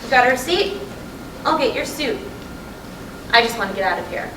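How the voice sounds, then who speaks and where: A woman's voice: fairly high pitch, expressive, moderate pace, sharp tone. A woman in a staged play reading, playing a blunt, restless middle-aged wife who is fed up. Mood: exasperated. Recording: echoey room from far off, noisy.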